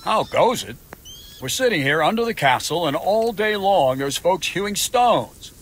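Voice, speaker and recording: A man's voice: high-pitched, lively intonation, a little slow, a bit bright, exaggerated voice. A man playing a stern, grumbling knight commander in a medieval video game. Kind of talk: video game dialogue acting.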